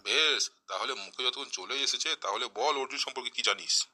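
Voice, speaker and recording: A man's voice: medium pitch, fairly quick, noisy background, close to an everyday voice. A man playing a stern, sarcastic schoolteacher in a comic cartoon, scolding and mocking. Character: stern, sarcastic, exasperated male village schoolteacher.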